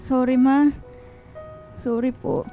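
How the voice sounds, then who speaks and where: A woman's voice: high-pitched, flat delivery, slow pace, warm tone, rough recording. A woman playing a vulnerable, distressed teenage daughter in a radio drama. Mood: ashamed, subdued. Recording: music bed behind the voice.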